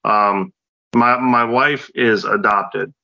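A man's voice: fairly low pitch, fairly even intonation, takes it slowly, gravelly, sharp tone, no echo, highs cut off. A man in his late thirties co-hosting a podcast, speaking casually and hesitantly with many fillers. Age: late 30s. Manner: hesitant, many ums and restarts. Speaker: podcast co-host. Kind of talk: casual chat.

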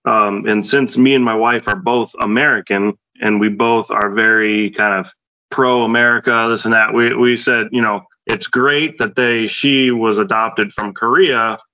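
Low bright-voiced man, hesitant. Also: no echo, dull audio. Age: late 30s. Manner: hesitant, many ums and restarts. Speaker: podcast co-host. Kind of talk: casual chat.

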